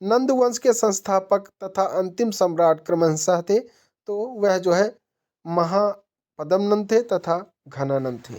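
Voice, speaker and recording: A woman teacher with a soft-edged, mellow tone reads out quiz questions and answers them herself. She is methodical, her pitch sits in the middle, and her sentences tend to trail downward at the end. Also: animated, slow. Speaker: exam-prep teacher.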